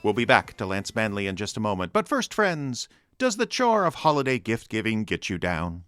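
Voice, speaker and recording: An actor playing a chipper old-time radio announcer, delivering sponsor pitches in an emphatic, mock-earnest sales style. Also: male voice, huge pitch swings, normal speed, a bit bright, no echo, studio quality, soft background music. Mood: upbeat, selling.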